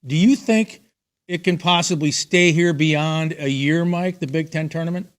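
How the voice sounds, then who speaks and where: A middle-aged man hosts a sports talk show, his voice sitting a little higher than most men's. He talks in a conversational way and gives his opinions freely. He puts his questions to the guest. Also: normal speed.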